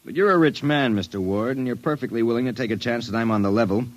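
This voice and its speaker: An actor playing a cool, wry private investigator, matter-of-fact in speech and narration, in a 1950s radio drama. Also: male voice, medium pitch, quick pace, lightly acted. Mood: cool, assured. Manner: matter-of-fact, hard-boiled.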